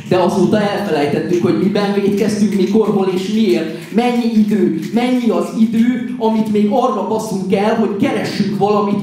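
Casting: male slam poet, high mellow voice, impassioned and accusatory, heard in a very reverberant space. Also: distant mic. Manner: impassioned, rhetorical, accusatory delivery. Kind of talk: slam poetry performance. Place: live slam poetry stage at a competition. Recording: roomy, echoing live recording from afar.

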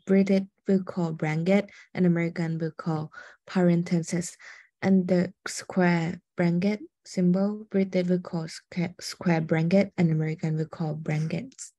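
Low, mellow young Thai woman presenting. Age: young adult. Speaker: student presenter. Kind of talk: scripted class presentation read aloud.

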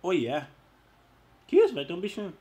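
A Brazilian man who is losing his voice, giving casual, slangy, excitable gameplay commentary. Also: high voice, moderate pace, slightly rough. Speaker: gaming YouTuber.

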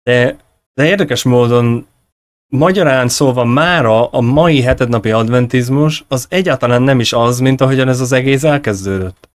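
Guy in his 30s interviewing, mid pitch, stops and restarts hunting for words, dry close mic. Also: dry recording. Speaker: host, interviewer. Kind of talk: conversational religious discussion. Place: small indoor room at home. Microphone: close desk microphone on a video call.

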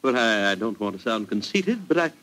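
An actor playing a proud, excitable English army colonel with a British accent in a 1940s radio drama. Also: male voice, fairly low pitch, brisk.

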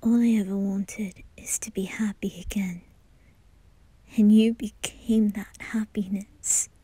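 Woman as a wistful young nobleman, slow halting delivery, frequent whispers, bright tone, dry close sound, ASMR roleplay. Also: mid-range voice, slightly rough, dry recording. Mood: tender, resigned. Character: wistful, lovesick aristocratic young man, former orphan. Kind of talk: ASMR roleplay, acted monologue.

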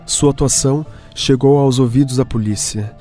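A man's voice: mid-range voice, fairly even intonation. Brazilian man narrating over music. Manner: measured storytelling. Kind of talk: scripted radio drama narration. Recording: music clearly behind voice.

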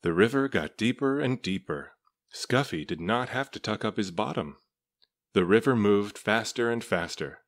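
Low-voiced man reading a storybook. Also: lively intonation, moderate pace. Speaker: storybook reader. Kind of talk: read-aloud children's story narration.

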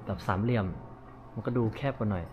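A man's voice: on the low side, fast, lo-fi sound, background noise. A man in his thirties talking casually as a vlogger, thinking aloud while he looks around a shop. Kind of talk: casual vlog narration. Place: equipment shop, then outdoor lot.

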